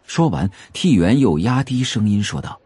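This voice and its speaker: A man narrating a novel for an audio drama in a measured, atmospheric storytelling manner. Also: on the low side, big pitch swings, mellow, breathy edge, no room sound.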